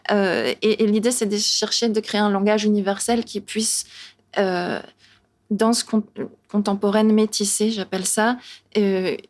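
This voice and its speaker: A woman's voice: medium pitch, level tone, unhurried, darkish tone. Eager woman choreographer explaining. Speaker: choreographer and teacher. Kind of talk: panel talk.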